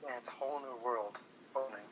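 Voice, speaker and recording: A man's voice: mid-range voice, quick pace, a bit bright, slightly rough. A man chatting casually and informally over the phone, thinking aloud as a guest. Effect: telephone call. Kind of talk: casual chat. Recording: faintly noisy phone line.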